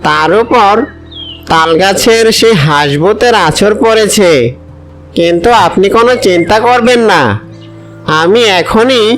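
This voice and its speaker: A man voicing a self-assured witch doctor in a children's cartoon, measured and reassuring in manner. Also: high-pitched, a bit bright, soft background music.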